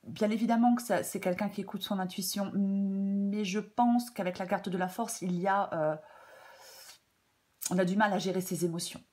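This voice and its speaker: A woman with a French accent giving a tarot reading in a casual, hesitant way, slightly hoarse, with many fillers and repeated phrases. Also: mid-range voice, fairly even intonation, normal speed, darkish tone. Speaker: tarot reader. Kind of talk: casual spoken tarot guidance.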